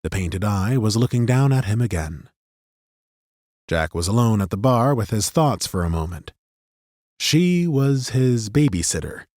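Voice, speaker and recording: A man narrating a fantasy audiobook in a steady, measured storytelling manner on a studio microphone. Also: medium pitch, no echo.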